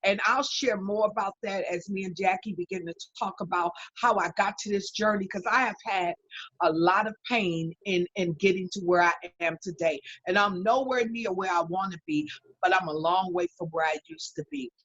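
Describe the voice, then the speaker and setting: A woman's voice: medium pitch, moderate pace, fairly bright tone, husky, dry recording. Emphatic woman pastor on a dull line. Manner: preacherly and emphatic, with list-like repetition. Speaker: pastor and life coach. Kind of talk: guest self-introduction on an online talk show.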